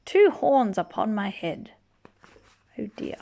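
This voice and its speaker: Female storybook reader, playful and very lively in pitch, slow-paced with some creak, stretching words for young listeners. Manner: playful, drawn-out emphasis. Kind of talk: animated children's read-aloud with asides.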